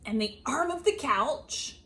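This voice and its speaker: A woman reading a children's picture book aloud expressively, with dramatic, deliberate phrasing and emphasised words. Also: sits high, lively intonation, sharp tone. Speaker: read-aloud storyteller.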